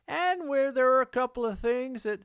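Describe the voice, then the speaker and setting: A man putting on an exaggerated, drawn-out American Southern accent, as a letter writer being read aloud. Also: very high-pitched, animated, fairly bright tone, clear, resonant tone, dry recording, pitched above the performer's own voice, exaggerated voice. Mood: exaggerated drawl. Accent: put-on American Southern.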